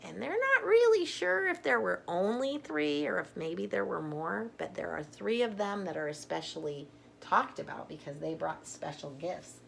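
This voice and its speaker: A woman's voice: medium pitch, lively intonation, normal speed, creaky, few pauses, a little hiss or hum. A woman storyteller reading a children's story aloud with simple, emphatic phrasing and informal asides to young listeners.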